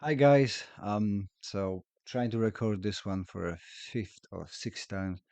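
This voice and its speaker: A man with a low, croaky voice talks through his solo podcast from home, his delivery relaxed and a little rambling, with plenty of ums. The sound is close and dry, with no room echo. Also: slow pace. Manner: relaxed, rambling explanation with frequent fillers. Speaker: podcast host and karate instructor. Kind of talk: casual solo podcast talk.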